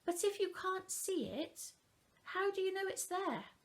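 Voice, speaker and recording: Lady putting on a high little girl voice for a storybook, curious and a bit worried, bouncy. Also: lively intonation, a little slow, full character voice. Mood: puzzled, curious. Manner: questioning, wide-eyed.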